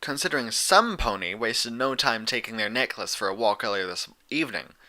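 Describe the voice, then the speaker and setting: A man's voice: medium pitch, animated, fairly quick, bright, forceful tone. A man voicing a bookish, fussy young female unicorn pony from a cartoon tale, explaining things in a hesitant way. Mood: dry, exasperated. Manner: hesitant, filler-laden explaining.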